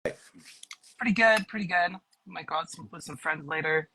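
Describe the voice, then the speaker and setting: A woman, slightly raspy from a cold, talking casually as a grower and consultant in a livestream interview, with many fillers. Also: low voice, unhurried, pushed and bright, a little hiss or hum, low-quality recording.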